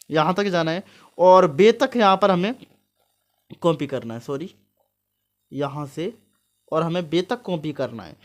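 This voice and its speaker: Man giving an informal tutorial. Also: sits high. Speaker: tutorial host. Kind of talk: informal step-by-step screen tutorial.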